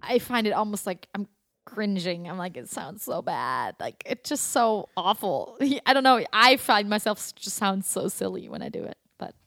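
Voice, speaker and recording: A woman's voice: medium pitch, big pitch swings, moderate pace, gravelly, a bit bright, dry recording. Chatty German-born woman, dry sound. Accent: American with slight German. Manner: chatty, laughs often, many fillers. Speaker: actress guest. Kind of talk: casual interview chat.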